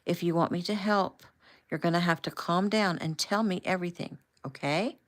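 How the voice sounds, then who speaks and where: A woman voicing a calm, authoritative man who speaks in a steady, reassuring and firm way. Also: on the low side, normal speed, natural voice. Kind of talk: audiobook character voice.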